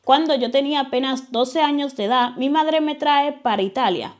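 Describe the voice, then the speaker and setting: A woman's voice: sits high, moderate pace. A young Dominican woman with a Dominican accent, hosting a channel and narrating a documentary in a chatty, digressive way, speaking straight to her viewers. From Dominican Republic. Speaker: YouTube channel host.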